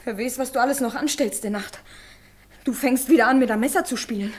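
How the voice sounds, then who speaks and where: An actress playing a hard, proud and bitter carter's wife with a Silesian accent, blunt and dramatic, in a radio play. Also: female voice, fairly high pitch, fast talker, a little mellow, natural voice. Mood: accusing. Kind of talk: naturalistic German radio play acting.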